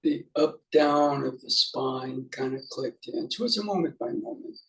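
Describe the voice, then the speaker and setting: A man's voice: medium pitch, lively intonation, gravelly, a bit bright, low-quality recording. Man musing through a guided meditation. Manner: halting, musing, speaks in short fragments with pauses. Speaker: meditation teacher.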